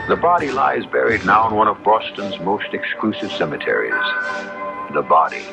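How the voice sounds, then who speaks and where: A man hosting and narrating an old-time radio drama in a measured, portentous, formal way. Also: deep voice, creaky, muffled recording. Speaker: host and narrator. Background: music.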